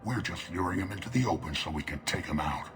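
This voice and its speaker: An actor playing a gruff, wary alien mercenary in a video game, terse and commanding. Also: male voice, deep voice, expressive, fast talker, fairly bright tone, soft background music. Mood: urgent, hushed.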